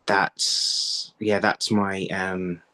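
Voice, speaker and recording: A man in his thirties giving conversational interview answers over a video call, haltingly, thinking aloud and repeating words. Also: low voice, flat delivery, bright, forceful tone. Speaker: writer being interviewed. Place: indoor room, video call. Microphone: phone on a video call.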